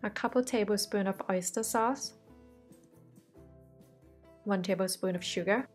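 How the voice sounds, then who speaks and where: A woman's voice: medium pitch, measured pace, soft background music. A woman, the host of a cooking channel, talking through a recipe step by step in a friendly, reassuring voice-over. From Thailand.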